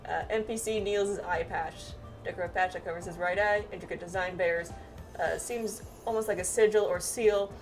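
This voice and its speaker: A woman's voice: on the low side, steady tone, normal speed. Casual woman gamer over game music. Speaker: gamer playing through a story quest with friends. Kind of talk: casual game playthrough chat and reading text aloud.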